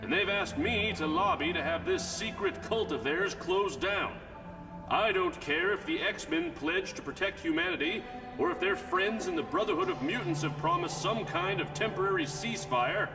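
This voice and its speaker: An indignant male lawyer, acted by a man, ranting in a high voice that swings widely. He hammers his points like a lobbyist in an animated comic. It sounds far off in a long reverb, with loud music behind. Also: normal speed, creaky, heavily acted. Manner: ranting, emphatic. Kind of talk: dramatic motion comic voice acting.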